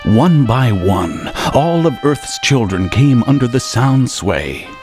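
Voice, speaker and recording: A man's voice: mid-range voice, very animated, takes it slowly, music in the background. A man narrating a radio tale in a theatrical, rhyming beat-poet style, relishing every word. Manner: dramatic, relishing rhyme and alliteration.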